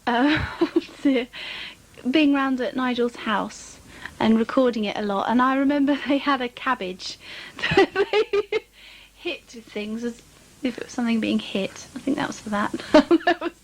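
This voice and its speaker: A woman's voice: sits high, animated, unhurried, rough recording. A woman in her thirties chatting casually as an interview guest, hesitant, amused and self-deprecating. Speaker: interviewed actress. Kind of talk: casual reminiscing chat.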